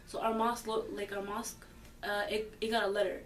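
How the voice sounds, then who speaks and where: A woman's voice: mid-range voice, flat delivery, brisk, roomy sound, recorded from a distance, a little hiss or hum. A fifteen-year-old girl answering interview questions casually, hesitant and filler-heavy but growing emphatic. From born in the United States. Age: teens. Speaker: high school student interviewee.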